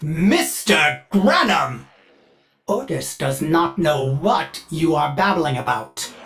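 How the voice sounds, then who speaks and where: Prim, defiant game AI: synthetic, theatrical, swooping intonation, measured pace, stuttering glitches, faint music behind. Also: mid-range voice, sing-song, a bit bright. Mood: flustered, indignant. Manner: formal, third-person speech, stuttering glitches. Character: prim, loyal, defiant artificial intelligence servant, glitchy temper. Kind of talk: theatrical scripted video game dialogue.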